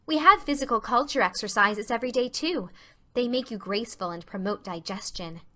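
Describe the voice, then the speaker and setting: Audiobook role: earnest romantic schoolgirl, played by a woman, effusive and dramatic, bright clear-edged tone. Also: fairly high pitch, fairly quick. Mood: gushing. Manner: gushing, dramatic.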